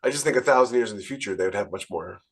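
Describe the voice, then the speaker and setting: A man's voice: on the low side, racing. A man who is a podcast host and military veteran, talking casually in a rambling way and often restarting his phrases. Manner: rambling, repeats phrase starts. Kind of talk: casual podcast conversation with anecdotes.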